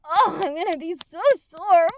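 A woman's voice: very high voice, a little slow, a little hiss or hum. A woman playing an overwrought, conflicted adult bride in an explicit audio roleplay, with breathless, moaning delivery. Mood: breathless, aroused.

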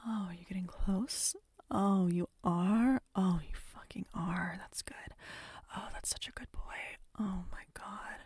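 A woman whispering softly and intimately close to the mic, in a slow, hushed audio roleplay. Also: mid-range voice, fairly even intonation, husky, no echo.

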